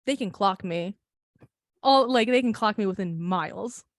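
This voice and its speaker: A woman's voice: medium pitch, moderate pace, dry recording, clean studio sound. A young woman co-hosting a podcast in casual chat, rambling with lots of fillers, laughing often and swearing. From United States. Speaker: podcast co-host.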